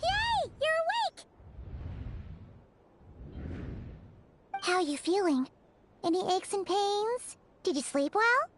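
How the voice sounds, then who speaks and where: A woman voicing a small, excitable, childlike fairy companion in a video game, with a squeaky, chirpy voice. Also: unhurried, slight background noise, heavily acted. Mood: excited, caring. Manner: chirpy, speaks of herself in third person. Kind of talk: video game character dialogue.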